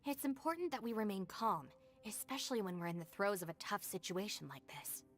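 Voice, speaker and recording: A woman voicing a calm, sensible young gang deputy in a video game, composed and polite. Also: mid-range voice, a little fast, natural voice. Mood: calm, helpful.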